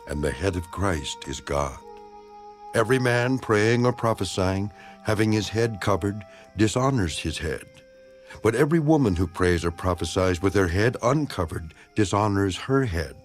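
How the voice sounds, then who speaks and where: A man giving a dramatized scripture reading in a solemn, declamatory, measured manner. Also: on the low side. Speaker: narrator of a dramatized Bible reading. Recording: voice over background music. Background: music.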